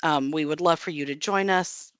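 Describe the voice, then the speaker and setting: A woman's voice: deep voice, moderate pace, dry recording. An American woman moderating an online webinar, giving friendly, chatty announcements with frequent ums and small restarts. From United States. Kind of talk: casual announcements to an online audience.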